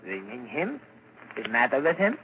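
A composed doctor, expressive intonation. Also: male voice, mid-range voice, normal speed, husky. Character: composed, probing male doctor treating mental cases. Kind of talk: 1940s radio drama acting. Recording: crackly, narrow-band 1940s radio broadcast.

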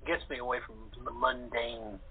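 A man chatting as an interview guest, halting as he searches for words. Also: mid-range voice, steady tone, slightly rough. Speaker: radio play actor, guest. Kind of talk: casual interview chat. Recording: tinny narrow phone line.

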